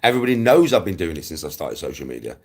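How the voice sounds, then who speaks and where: A man's voice: sits low, very animated, rapid-fire, darkish tone, husky. A man in his forties with an English accent, ranting casually on a livestream, bluntly and with plenty of swearing, hammering points home by repeating them. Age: 40s. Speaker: live streamer.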